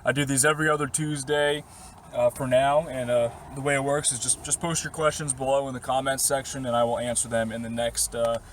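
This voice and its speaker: A young man with a slightly hoarse, worn voice talks casually and rambles easily, with plenty of fillers and short laughs. His pitch sits in an ordinary middle range. Faint outdoor noise hums behind him in his parked camper van. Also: flat delivery, fairly quick, a bit bright. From Boston area. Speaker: vlogger answering viewer questions. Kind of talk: casual chat to camera.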